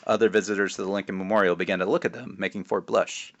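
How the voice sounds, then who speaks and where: A man with a fairly low voice reads as a children's author. His delivery is casual and conversational, moving between informal asides and storybook narration. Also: fairly even intonation, fast talker, fairly bright tone, slight background noise. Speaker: children's author reading his own book.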